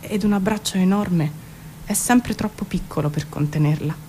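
A woman giving an expressive, measured theatrical spoken-word reading with dramatic pauses. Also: medium pitch, a little hiss or hum. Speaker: performer reading her own poetic prose. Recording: music behind the voice. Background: music.

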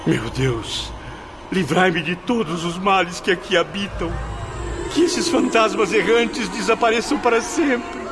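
A man's voice: high-pitched, measured pace, over music. An actor playing the anguished, proud, blind ghost of a male writer, lamenting and pleading theatrically in a radio drama. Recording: noisy radio drama with ambient sound.

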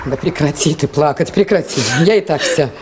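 An actress playing a gruff, no-nonsense woman in her late twenties in a Soviet radio play. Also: deep voice, moderate pace, close to an everyday voice. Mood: gruff, weary. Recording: noisy 1980s radio drama recording.

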